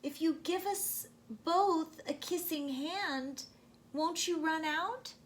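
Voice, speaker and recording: A woman's voice: very high voice, slow pace, pitched above the performer's own voice. A woman voicing a sad little boy raccoon in a children's storybook, asking questions in a plaintive, childlike way. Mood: worried.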